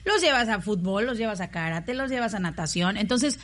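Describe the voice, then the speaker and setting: A woman's voice: mid-range voice, animated, brisk, a bit bright. A Mexican woman in her thirties chatting casually and colloquially as a radio co-host. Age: 30s.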